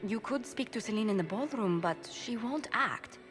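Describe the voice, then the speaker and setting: A woman's voice: on the high side, lively intonation, normal speed, natural voice. An actress voicing a principled, cautious female ambassador in a video game, speaking in a formal, diplomatic way. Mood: earnest. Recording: game dialogue over music.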